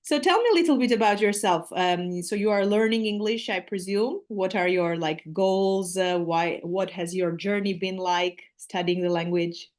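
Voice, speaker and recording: A woman's voice: mid-range voice, normal speed, few pauses, darkish tone. Chatty Armenian-accented woman teacher. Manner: friendly, chatty, explains at length with fillers. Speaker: English teacher. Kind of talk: casual video call conversation. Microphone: video call.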